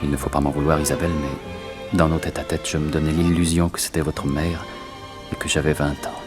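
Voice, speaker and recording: A worldly, romantic gentleman thief in an old French radio serial. His voice is very low and his phrasing is courtly and tender, with prominent music behind him. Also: flat delivery, brisk. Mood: tender, wistful.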